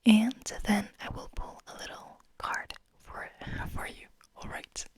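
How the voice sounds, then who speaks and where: A woman hosting ASMR in a breathy whisper, speaking in a soft, soothing, unhurried manner. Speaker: ASMR host.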